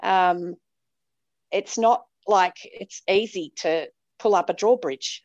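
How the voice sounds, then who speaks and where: A woman's voice: fairly low pitch, no room sound. Australian woman, middle-aged, priest, emphatic casual chat, dry dull sound. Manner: chatty, emphatic, with frequent ums.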